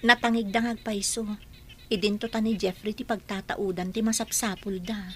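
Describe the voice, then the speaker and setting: A woman playing a calm, patient young wife in a radio drama, talking to her mother in a soft, pleading way. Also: medium pitch, moderate pace, close to an everyday voice. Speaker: Juliet, the daughter. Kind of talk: amateur radio drama acting.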